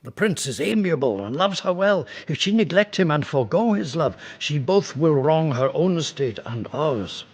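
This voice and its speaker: An actor playing a genial but authoritative older king, husky and measured, in a classical verse radio drama. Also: a little high, big pitch swings, exaggerated voice. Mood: counselling, firm. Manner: stately, measured, instructing.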